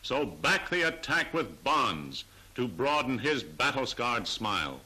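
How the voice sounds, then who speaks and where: Piercingly bright, forceful 1940s newsreel announcer man. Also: mid-range voice, very animated, takes it slowly, husky, poor audio. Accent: American. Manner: solemn, emphatic, oratorical. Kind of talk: 1940s newsreel war appeal narration.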